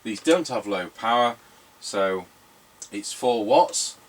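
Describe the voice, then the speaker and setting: A man's voice: fairly low pitch, lively intonation, slow pace. A man giving a casual hands-on demonstration as a radio repairer testing a set.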